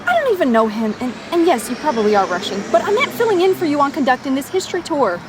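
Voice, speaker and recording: A bookish young woman, high-voiced and animated, prim and pedantic in her wording. She sounds earnest and cautious, in audio-drama dialogue over clear street noise. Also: fast talker. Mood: exasperated, earnest. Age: young adult. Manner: precise, prim, exasperated. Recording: noisy street and vehicle ambience.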